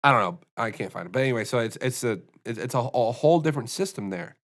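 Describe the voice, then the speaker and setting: An American man co-hosting a laid-back podcast, talking in loose, rambling stretches. He circles back often, fills pauses with ums and restarts his sentences mid-thought. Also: medium pitch, animated, a bit bright. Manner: rambling, many restarts and fillers. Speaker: podcast co-host. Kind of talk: casual chat.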